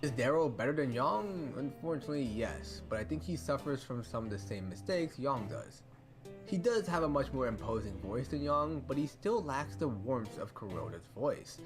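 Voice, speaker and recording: A man giving scripted, measured and analytical narration for a video essay. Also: medium pitch, animated. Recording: narration played over music.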